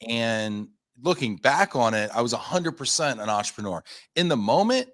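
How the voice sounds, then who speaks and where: A man's voice: medium pitch, sing-song, moderate pace, a bit bright, dry recording, studio quality. A man in his thirties, a company founder being interviewed, telling his story in a chatty, self-deprecating, rambling way. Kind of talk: casual interview talk.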